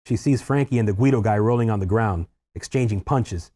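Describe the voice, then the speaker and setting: A man with a fairly low voice narrates screenplay stage directions. He reads scripted action lines at a table read. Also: brisk, dark tone.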